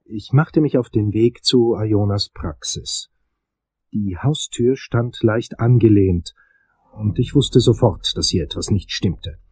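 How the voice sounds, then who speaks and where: A man playing a hard-boiled investigator, narrating a crime audio drama in a husky, dramatic, suspenseful way. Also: lowish voice, takes it slowly, darkish tone. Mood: tense, brooding. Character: hard-boiled male investigator telling his dark case.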